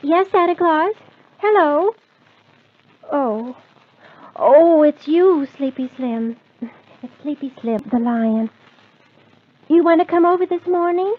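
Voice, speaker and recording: A woman's voice: high-pitched, animated, slow pace, long pauses. A woman playing a cheerful, motherly lady of the house in an old-time children's radio show. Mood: bright, welcoming. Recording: tinny, phone-like old radio recording.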